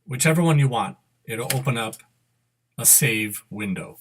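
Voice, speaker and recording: A middle-aged man narrating a step-by-step screen demo, unscripted and halting, correcting himself as he instructs. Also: mid-range voice, animated, a little hiss or hum. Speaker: software tutorial presenter. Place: indoor room at a computer.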